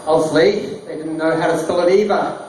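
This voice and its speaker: A man doing stand-up one-liners in a deadpan delivery. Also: a little high, fairly quick. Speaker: stand-up comedian. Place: small bar stage, roomy and echoey. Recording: echoey distant bar recording, noisy. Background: small crowd laughing, bar noise.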